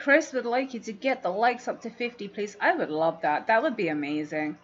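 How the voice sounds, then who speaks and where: A woman's voice: fairly high pitch, lively intonation, a little fast, a bit bright. London woman chatting on a muffled stream. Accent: London English. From London, England. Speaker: livestream host, fishkeeping hobbyist. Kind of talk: casual livestream chat answering viewers. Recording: dull, muffled livestream audio.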